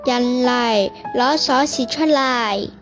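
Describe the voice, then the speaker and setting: A woman playing a benevolent, forgiving queen in a stage play, speaking with measured authority. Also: fairly high pitch, fairly bright tone, lo-fi sound, soft background music. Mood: calm command.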